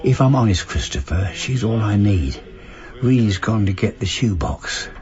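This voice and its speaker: Lively old Cockney husband played by an actor. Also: male voice, lowish voice, normal speed, lo-fi sound, natural voice. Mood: protective, sincere. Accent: Cockney London. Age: elderly. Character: devoted, protective, cheeky old Cockney husband. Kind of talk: naturalistic radio drama acting.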